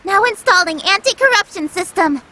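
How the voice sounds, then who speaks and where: Very high, bright young tech operator. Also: female voice, expressive, a little fast, full character voice. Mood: helpful, upbeat. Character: helpful young female tech support operator. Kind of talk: anime-style video game voice acting.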